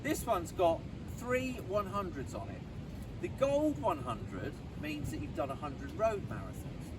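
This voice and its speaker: A man's voice: high-pitched, wildly swooping pitch, a little slow. A man presenting running awards to a small crowd, in an enthusiastic, announcer-like manner. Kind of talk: outdoor speech to a small crowd. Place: outdoors by a road. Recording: distant mic, loud outdoor traffic noise.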